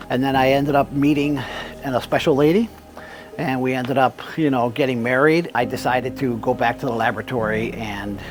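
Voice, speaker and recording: A middle-aged man from Cuba, a business owner telling his story in a relaxed, rambling way. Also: medium pitch. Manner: relaxed, many fillers and restarts. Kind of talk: conversational storytelling.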